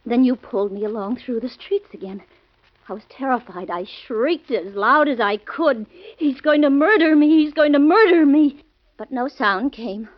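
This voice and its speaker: Actress as high-voiced, frightened radio wife Helen. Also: female voice, moderate pace, no room sound, close to an everyday voice. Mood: anxious recounting. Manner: earnest, plaintive, emphatic repetition. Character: Helen, terrified wife recounting a nightmare. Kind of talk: old-time radio drama acting. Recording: dull old radio recording, faint music.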